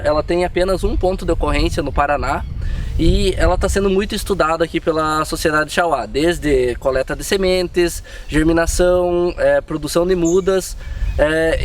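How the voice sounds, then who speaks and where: A man with a slightly high voice explains a rare plant slowly and hesitantly. He stands away from the microphone outdoors, and faint noise sits behind him. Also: fairly even intonation, recorded from a distance. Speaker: staff member at a conservation NGO. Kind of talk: on-site explanation.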